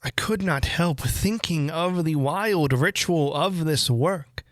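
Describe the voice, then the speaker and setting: A man reading a classic horror story aloud as literary narration, with measured, deliberate phrasing and dramatic pauses. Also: fairly high pitch, no room sound, studio quality. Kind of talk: literary audiobook-style narration.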